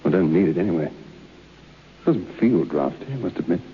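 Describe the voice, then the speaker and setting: Skeptical man alone in a haunted room, muttering, puzzled; low-pitched, dull and noisy radio drama recording. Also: expressive, moderate pace. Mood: mildly bothered. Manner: muttering to himself. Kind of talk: radio play acting.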